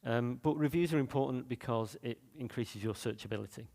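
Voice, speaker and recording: A man's voice: medium pitch, brisk, a little mellow, big-room sound. A man with a Manchester accent giving a conference talk in a loose, chatty way, with many fillers and restarts. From Manchester, England. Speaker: conference speaker.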